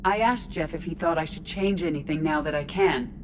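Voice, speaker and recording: An actress playing a curious, logical artificial intelligence in a robot body in a video game, speaking formally, literally and with composure. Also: female voice, sits low, a little fast, noisy background, close to an everyday voice. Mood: earnest, inquiring. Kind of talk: video game dialogue acting. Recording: clear ship ambience behind voice.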